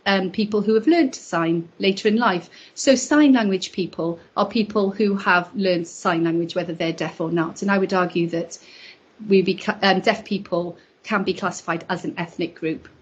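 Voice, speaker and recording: A woman interpreting a signed academic lecture into speech, in a measured, explanatory way with pauses and small restarts. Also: on the low side, slightly rough, muffled recording. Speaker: sign language interpreter voicing a deaf lecturer.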